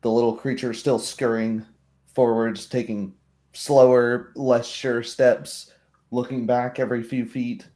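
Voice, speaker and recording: A man narrating a tabletop roleplay as game master, describing scenes in a measured storytelling manner. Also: mid-range voice, level tone, warm tone.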